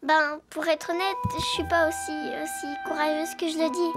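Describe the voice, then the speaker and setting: High-pitched boastful little girl elephant. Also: little pitch movement, normal speed. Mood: sheepish. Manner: boastful, exclamatory. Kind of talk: children's cartoon dubbing. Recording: music behind the voice.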